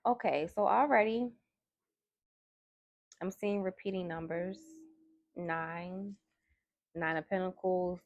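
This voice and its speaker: Woman tarot reader, soft and low, slow gravelly delivery, dry close sound. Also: no echo, dull audio. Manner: soft-spoken, slow and musing. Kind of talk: soft-spoken tarot reading. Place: indoors at a table.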